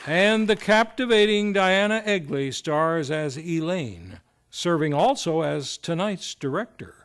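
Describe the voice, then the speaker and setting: A man's voice: a little high, animated, a little slow, a bit bright, smooth. A man hosting and narrating a radio play with theatrical, grandiose scripted delivery. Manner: grandiose, showy announcing.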